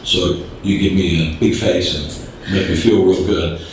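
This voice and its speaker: A man's voice: deep voice, steady tone, a little slow, a little hiss or hum. A middle-aged man giving a candid, plain-spoken business talk, with many fillers and restarts, in a meeting room. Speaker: business presenter. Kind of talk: informal business presentation. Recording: echoey room, speaker far from the mic.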